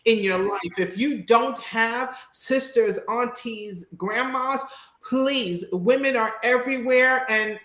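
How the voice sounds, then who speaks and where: A woman's voice: fairly high pitch, takes it slowly, a bit bright. A woman in her fifties giving candid, conversational advice on a panel, emphatic and repeating phrases to drive points home. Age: 50s. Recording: roomy echo.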